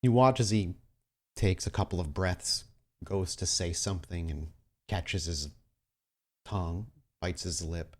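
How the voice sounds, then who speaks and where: A man narrating a tabletop roleplaying game as game master, with deliberate, suspenseful storytelling. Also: sits low.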